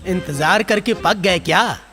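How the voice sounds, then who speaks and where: A man voicing a hungry, polite crocodile who loves fruit in a children's cartoon, friendly and drawn-out in manner. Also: high-pitched. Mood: apologetic. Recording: loud music behind the voice.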